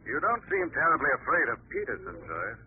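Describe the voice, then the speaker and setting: An actor playing a menacing, invisible crime fighter who taunts and commands, in 1940s radio drama style. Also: male voice, a little high, wildly swooping pitch, brisk. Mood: stern, accusing. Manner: sardonic, taunting, interrogating. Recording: tinny, hissy old radio recording.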